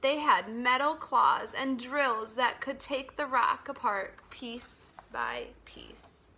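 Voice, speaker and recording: A woman with a high, bright voice reads a story aloud to children. She takes her time, telling it slowly and dramatically. Her delivery moves between hushed phrases and strong, emphatic ones. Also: very animated. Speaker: teacher reading a picture book aloud.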